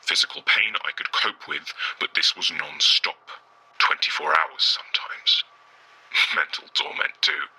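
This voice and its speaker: An actor playing a bitter, worn-out former test subject giving a guarded, halting interview in an audio drama, husky and rough. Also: male voice, very deep voice, sharp tone, heavily acted, rough recording. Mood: bitter, weary.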